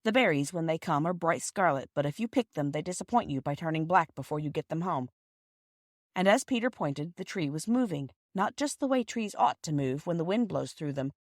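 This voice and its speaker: Low-pitched woman reading a storybook. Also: dry recording, clean studio sound. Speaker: audiobook reader and podcast host. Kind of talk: scripted storybook narration.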